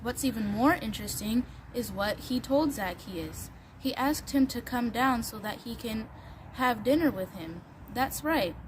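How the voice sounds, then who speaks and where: A woman playing an on-the-scene TV news reporter, delivering a brisk report. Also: fairly high pitch, lightly acted, low-quality recording. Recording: clear background noise.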